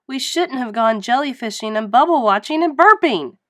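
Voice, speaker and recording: Woman doing an excitable, high-swooping young cartoon sponge. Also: moderate pace. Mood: dejected. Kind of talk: children's storybook character voice.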